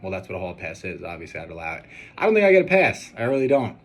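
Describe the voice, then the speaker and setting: A man's voice: sits low, rapid-fire. A young man recording a casual personal video message, talking in a hesitant, rambling and earnest way. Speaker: groom-to-be answering questions on video. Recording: steady background noise.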